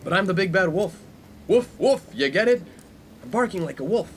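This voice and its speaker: A man's voice: high-pitched, expressive, moderate pace, a little hiss or hum. A man playing a brash young college friend in a comic radio play, pompous, wordy and playful. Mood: playful, teasing. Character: brash, inappropriate young male college friend, mock-formal prankster. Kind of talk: 1950s-set comic radio play acting.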